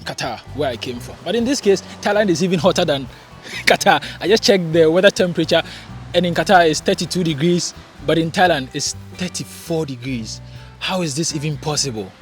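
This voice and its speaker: A young man from Ghana chatting casually in a vlog, animated and full of rhetorical questions and fillers, recording at home. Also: a little high. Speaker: vlogger. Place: home in hot, humid climate.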